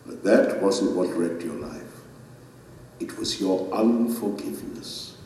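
An actor playing a majestic, stern king who judges souls in an audio drama, solemn, measured and authoritative. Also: low-pitched, a little mellow, a little hiss or hum. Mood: stern, grave. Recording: very echoey hall, distant, faint music behind.

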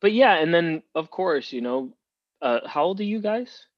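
A man's voice: a little high, lively intonation, normal speed, no echo, lo-fi sound. A Cuban-born man, a guest on a podcast, talking casually with many restarts and fillers over a remote call. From Cuba. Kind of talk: casual interview talk.

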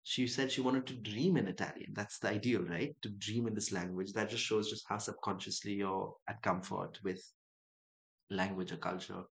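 A man's voice: fairly low pitch, fairly even intonation, moderate pace, creaky, low-quality recording. A young man from Dubai and Chennai, a writer guest in an interview, talking in a reflective, musing way. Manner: thoughtful, musing, acts out quoted voices.

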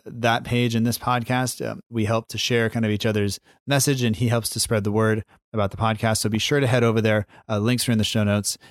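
Low-voiced guy hosting a podcast, chill and easygoing, dry close sound. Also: no room sound. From United States. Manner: laid-back, easygoing, loosely phrased. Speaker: podcast host. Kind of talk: casual podcast chat.

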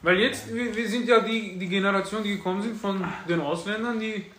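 A man's voice: sits high, fairly even intonation, fairly quick, fairly bright tone. A young man in a casual discussion. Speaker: podcast participant. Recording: echoey room, faint noise.

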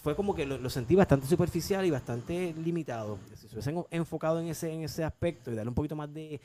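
Fast-talking man giving film opinions. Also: on the high side, warm tone, slight background noise. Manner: hesitant, reasons out opinions, mixes in English. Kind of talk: casual film chat.

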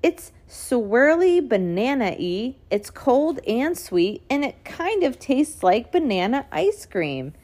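An excitable young boy tiger from a children's storybook, acted out by a woman reader. The voice is raised and very animated. He sounds playful, eager and full of childlike wonder. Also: fairly high pitch, slow, few pauses, heavily acted. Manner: giggly, exclaiming, childlike wonder.

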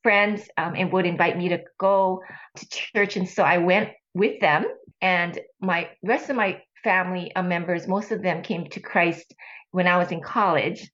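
A woman in her sixties from Hawaii, talking earnestly as an interview guest, with halting restarts. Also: lowish voice, steady tone, fairly bright tone, highs cut off. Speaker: guest, ministry leader. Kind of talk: casual interview talk.

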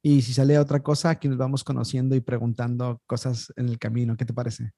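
A man's voice: medium pitch, little pitch movement, fast talker, warm tone, dry recording. A man, a podcast guest talking casually and thinking aloud with many fillers over a video call. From Mexico. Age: 30s. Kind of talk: casual conversation.

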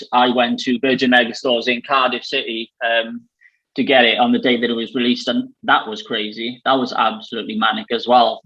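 A man with a South Wales Valleys accent hosts a relaxed conversation, wandering through his thoughts with lots of ums and likes. The recording is slightly dull and lo-fi. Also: medium pitch. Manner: chatty, many fillers, rambling. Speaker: host. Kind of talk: casual chat.

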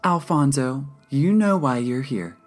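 Woman playing a calm, firm female counselor. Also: rumbling low, faint music behind, pitched above the performer's own voice. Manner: measured, firm, placating.

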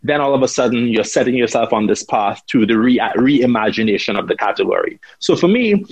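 A man's voice: mid-range voice, animated, fairly quick, husky, dry recording. A man from Trinidad and Tobago, a rum brand founder, talking in an interview and often repeating words and asking "right?". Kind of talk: conversational interview answer.

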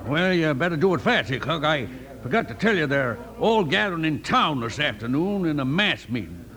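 An actor playing a crusty, wary small-town sheriff with an American Western drawl in a radio western. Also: male voice, a little high, very animated, a little fast, fairly bright tone. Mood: grim warning. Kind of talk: old-time radio western acting.

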